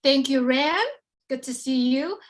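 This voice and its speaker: A woman hosting a live online event, giving quick upbeat handoffs between speakers. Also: sits high. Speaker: event host.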